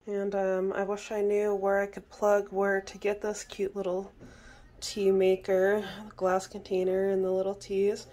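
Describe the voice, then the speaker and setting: A young woman in her kitchen chatting casually to her phone camera, clearing her throat now and then. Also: mid-range voice, completely flat delivery, a little slow, warm tone. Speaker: vlogger. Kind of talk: casual home vlog narration. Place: home kitchen, indoors.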